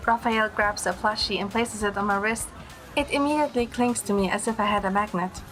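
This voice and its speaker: A woman playing a gentle young heroine, narrating her romance in the first person as scripted audio drama. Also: mid-range voice, a little fast, soft background music, close to an everyday voice, poor audio.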